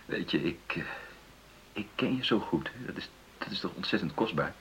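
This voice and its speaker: Creaky, animated earnest husband. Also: male voice, low voice, moderate pace, slight background noise, heavily acted. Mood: coaxing, tender. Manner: hesitant, stammering restarts, searching for words. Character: earnest, career-minded middle-aged husband, coaxing and conciliatory. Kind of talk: naturalistic radio drama dialogue.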